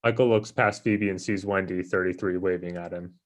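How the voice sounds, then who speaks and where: A man's voice: low-pitched, fairly even intonation, brisk. A man reading stage directions as scripted narration in a table read. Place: online live stream table read.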